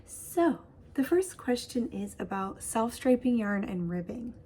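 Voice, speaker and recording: A woman in her thirties hosting a casual knitting podcast from her home craft studio, chatty and bubbly, with a husky voice. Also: on the high side, very animated, measured pace, mellow, slight background noise. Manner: bubbly, chatty, laughs often, gestures while talking. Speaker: host. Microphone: webcam framing at desk.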